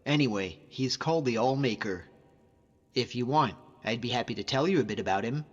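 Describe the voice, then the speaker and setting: A man's voice: medium pitch, lively intonation, a little fast, big-room sound, lo-fi sound, faint music behind, lightly acted. A man voicing a young, earnest, devout Nordic hunter, a loyal companion in a video game, talking in an informal, conversational way. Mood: reflective, earnest. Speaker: video game follower character. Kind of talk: conversational game character voice acting.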